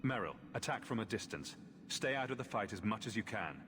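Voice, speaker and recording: An actor playing a confident, wry champion who leads companions in a fantasy video game. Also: male voice, lowish voice, expressive, a little fast, in a large hall, noisy background, natural voice. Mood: commanding. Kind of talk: video game cutscene acting.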